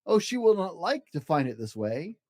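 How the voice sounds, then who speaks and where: A man's voice: on the high side, big pitch swings, normal speed, darkish tone. A man voicing an anxious, bossy pet fish from a children's storybook, fretting in urgent exclamations. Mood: panicked.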